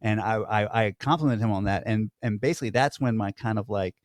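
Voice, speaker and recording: A man's voice: fairly low pitch, brisk, no echo, clean studio sound. A man co-hosting a podcast, talking hesitantly and questioning himself in casual conversation. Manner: hesitant, self-questioning, restarts. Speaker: podcast co-host.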